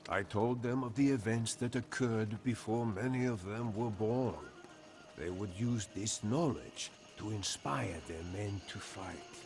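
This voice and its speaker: Solemn, slow-spoken Khmer general. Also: male voice, on the low side. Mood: solemn, storytelling. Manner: grave, measured, formal storytelling. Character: honored old-world Khmer general, solemn, weathered commander. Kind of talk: dramatic scripted game narration.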